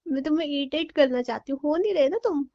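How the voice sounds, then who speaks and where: A woman's voice: high-pitched, fast talker, warm tone. A young woman bantering playfully with her boyfriend in a casual phone call. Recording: dull lo-fi call recording.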